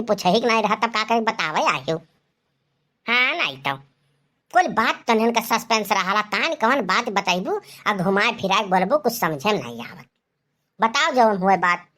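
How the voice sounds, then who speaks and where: A woman's voice: mid-range voice, expressive, moderate pace, fairly bright tone, lightly acted. A woman voicing a protective, scolding Awadhi-speaking village mother in a cartoon comedy, with a blunt, grumbling way of talking. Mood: impatient.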